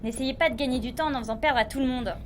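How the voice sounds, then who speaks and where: A woman's voice: sits high, racing, slight background noise, lightly acted. An actress playing an impatient, indignant woman defense lawyer in a French audio drama, curt and formal. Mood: exasperated.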